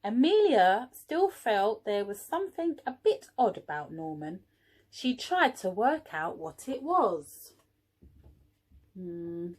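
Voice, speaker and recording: A woman reading a picture book aloud to young children, expressively, pausing for little asides to the listeners. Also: medium pitch, very animated, a little mellow. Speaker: early years teaching assistant reading a storybook.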